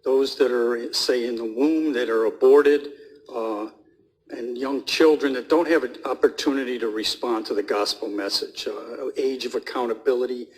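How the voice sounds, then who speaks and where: A man's voice: mid-range voice, moderate pace, breathy edge, poor audio. A man from the audience politely asking the host a question.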